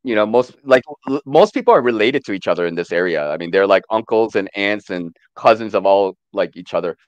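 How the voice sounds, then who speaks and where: A man's voice: fairly low pitch, expressive, brisk, no room sound, highs cut off. A man in his thirties, born in Korea with Korean as his first language, chatting hesitantly as a guest with many fillers over a video call. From born in Korea, raised in the US. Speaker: panel guest. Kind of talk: casual livestream chat.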